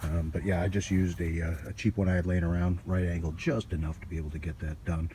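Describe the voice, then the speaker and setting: A man giving a casual hands-on repair walkthrough in a halting, folksy, offhand way, recorded outdoors on amateur gear. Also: low-pitched, level tone, dark tone, slight background noise. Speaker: host of a DIY radio repair channel. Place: outdoors beside a car.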